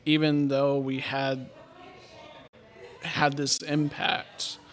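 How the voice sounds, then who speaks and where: A young man talks as a guest about mental health work. His voice stays very flat, with almost no rise and fall, and his delivery is earnest and measured. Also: a little high. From Texas.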